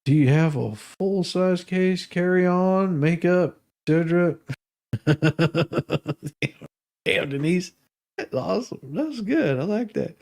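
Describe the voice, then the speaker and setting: A man with a slightly high voice hosts a live stream. He reads formal text aloud and breaks in with offhand remarks of his own. His manner is sardonic and openly mocking. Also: expressive, slow, gravelly, darkish tone. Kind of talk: reading documents aloud with off-the-cuff commentary.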